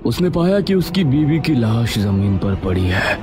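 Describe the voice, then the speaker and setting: A man's voice: medium pitch, sing-song, unhurried, over music. A man narrating a scripted horror story as the storyteller.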